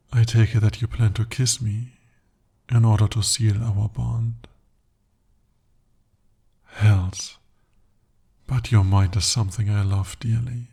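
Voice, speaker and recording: A man voicing a devoted, possessive male demon in an ASMR romance roleplay, speaking softly and formally. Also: on the low side, creaky, breathy edge, full character voice. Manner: soft, intimate, formal speech. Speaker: voice actor.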